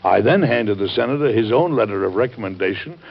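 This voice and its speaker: An actor playing a warm, earnest, wryly amused American president in an emphatic, theatrical 1940s radio style. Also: male voice, fairly low pitch, expressive, brisk, vocal fry, breathy edge, heavily acted. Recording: dull vintage radio recording.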